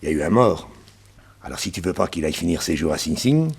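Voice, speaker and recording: An actor playing a cold, commanding gang boss who blackmails and threatens in a French radio crime drama. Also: male voice, deep voice, very animated, fast talker, vocal fry, breathy edge, heavily acted. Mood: cold menace.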